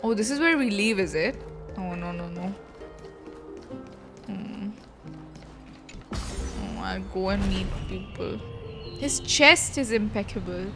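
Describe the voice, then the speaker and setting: A woman's voice: medium pitch, takes it slowly, long pauses. A woman streamer giving casual live reaction commentary on a game, chatty, informal and exclamatory, with game music behind her.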